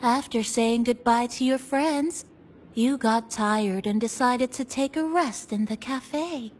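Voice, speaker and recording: A woman voicing a kindly, gently teasing cafe attendant in a video game, with music playing behind her. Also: fairly high pitch, takes it slowly, a little hiss or hum. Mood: gentle. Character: playful, kindly female cafe attendant addressing a passenger. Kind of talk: video game character dialogue. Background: game background music.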